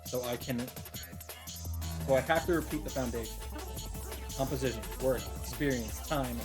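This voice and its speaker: A man's voice: medium pitch, moderate pace. A man reading a visual novel's first-person narration aloud in an earnest, dramatic way and commenting as he goes. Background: game music.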